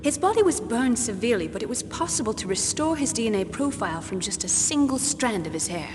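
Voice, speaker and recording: Poised, higher-voiced woman scientist. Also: sing-song, a little fast. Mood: composed, assured. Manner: precise, composed, explanatory. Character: poised, clinical female military geneticist. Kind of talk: dramatic video game scientific briefing. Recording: long reverb, clear background noise.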